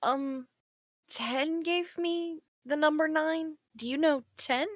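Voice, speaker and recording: High, hesitant, naive young space probe played by a woman. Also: slow pace, dry recording, clean studio sound. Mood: shy, uncertain. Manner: hesitant, earnest, childlike. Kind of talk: table read of a science fiction story.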